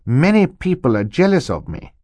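A man voicing an animated, self-important older uncle, a jovial scientist, in an audiobook. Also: a little high, moderate pace, no room sound, voice pushed up. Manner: animated, emphatic, theatrical. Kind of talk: audiobook character voice.

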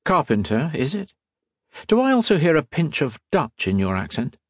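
A man voicing a courteous, inquisitive young gentleman doctor in an audiobook story, with a polite, formal and chatty manner. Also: on the high side, sing-song, normal speed, slightly rough, no echo, clean studio sound, voice pushed up, exaggerated voice. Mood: politely probing.

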